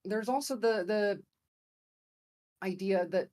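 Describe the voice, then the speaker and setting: A woman's voice: medium pitch, slow pace, darkish tone. A woman with an American accent making a debate argument in a conversational way, sometimes searching for words. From grew up in America. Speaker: debate guest. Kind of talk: argumentative debate opening statement.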